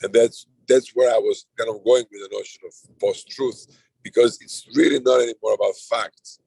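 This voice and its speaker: A man's voice: mid-range voice, fairly even intonation, moderate pace, creaky, mellow, husky, no echo, low-quality recording. A middle-aged man with a Serbian accent, chairing an online roundtable in a loose, rambling way with frequent restarts. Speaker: conference chair. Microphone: video call.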